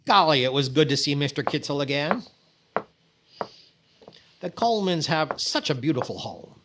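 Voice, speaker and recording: Vain, flustered 1940s radio comedy lead, played by a man; lightly high, lively pitch, stammering timing. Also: normal speed, very noisy, lightly acted. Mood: cheerfully vain. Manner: exclaiming, hesitant stammers, comic timing. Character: vain, naive, fussy comedian, easily flustered, boasting of possessions.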